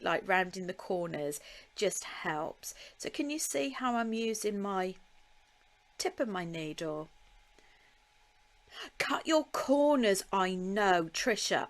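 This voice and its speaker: Woman, slow and chatty, live craft lesson, middle pitch, pauses with ums. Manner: chatty and slow, with laughs and ums, talking to viewers by name. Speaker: beading tutor. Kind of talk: live-stream craft tutorial.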